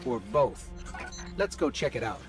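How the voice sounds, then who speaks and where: An actor playing a small robot companion in a video game, earnest, inquisitive and upbeat. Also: male voice, medium pitch, very animated, measured pace, over music. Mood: curious, eager. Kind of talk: video game character acting.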